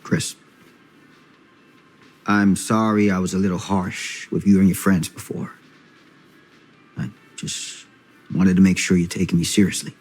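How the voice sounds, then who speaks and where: A middle-aged actor playing a gruff small-town father with dry humor in a video game cutscene. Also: deep voice, flat delivery, unhurried, pauses often, a little mellow, faint music behind. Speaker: father of a teen.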